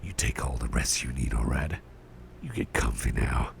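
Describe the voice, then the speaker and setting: A man playing a caring, gentle boss in an ASMR roleplay, murmuring tender reassurance in breathy whispers with a gravelly rumble. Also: animated, normal speed, exaggerated voice. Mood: affectionate. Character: caring, gentle male boss soothing a cursed employee.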